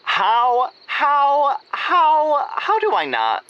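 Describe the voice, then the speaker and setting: A man's voice: very high voice, huge pitch swings, drawn-out words, dull audio, exaggerated voice. A man playing a lovesick, melodramatic musical theater romantic on a comedy call-in show, theatrical and emphatic, repeating words for effect. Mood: lovelorn, dramatic.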